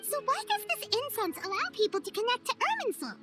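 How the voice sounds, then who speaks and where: A woman voicing a small, excitable, hungry fairy companion in a video game, squeaky and childlike, with perky exclamations. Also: fairly quick. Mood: curious. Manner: perky, exclaiming, speaks of herself in third person. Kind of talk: video game cutscene acting. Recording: loud background music. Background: music.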